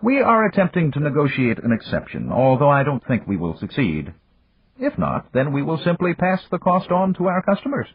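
Burly, formal older Japanese tycoon, read by a male narrator in a raised, lively voice. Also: a little high, pitched above the performer's own voice, heavily acted. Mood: businesslike. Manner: formal, measured speech. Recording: dry, phone-like, husky.

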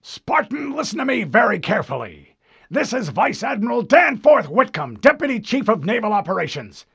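A man voicing a gruff, impatient older vice admiral who barks orders over a radio link. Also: extremely high pitch, big pitch swings, moderate pace, voice pushed up, heavily acted. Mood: barking. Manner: barking orders, raised and forceful.

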